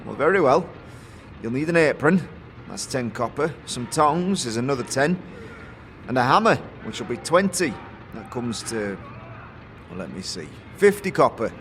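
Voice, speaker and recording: A man's voice: mid-range voice, sing-song, moderate pace, long pauses, fairly bright tone, big-room sound, slight background noise. A man voicing a forgetful old retired fighter who sells smith's gear in a fantasy audio drama. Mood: weary, wry. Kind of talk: audio drama character acting.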